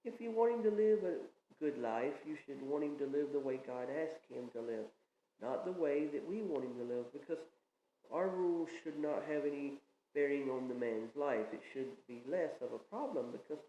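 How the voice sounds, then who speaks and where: Man, 30s, Southern US accent, pastor, earnest rambling sermon, warm mellow tone, roomy echo. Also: fairly high pitch, lively intonation, normal speed, dull audio, slight background noise. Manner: earnest, rambling, plain-spoken. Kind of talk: informal preaching monologue. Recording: echoey room with faint ambience.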